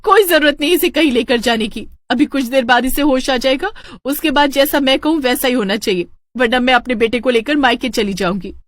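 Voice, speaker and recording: A woman's voice: sits high, brisk, few pauses, no echo, studio quality, pitched above the performer's own voice, close to an everyday voice. A woman voicing a domineering, superstitious village wife and mother in a cartoon story, nagging and insistent. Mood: stern, insistent. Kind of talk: Hindi cartoon story dialogue.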